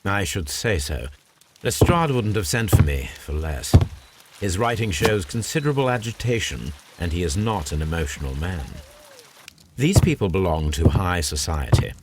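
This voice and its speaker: Low-pitched British gentleman detective, Victorian, aloof, precise, formal, very varied intonation, video game cutscene. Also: big pitch swings, normal speed, heavy background noise. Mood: confident, deductive. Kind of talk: scripted video game acting.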